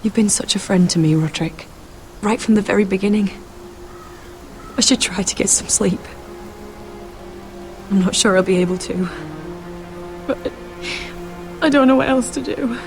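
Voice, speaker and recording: A woman's voice: mid-range voice, moderate pace, pauses often, over music, lightly acted. An actress playing an anguished, grieving young noblewoman in a dramatic game, emotional and pleading. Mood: weary, bereft. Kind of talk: dramatic video game voice acting.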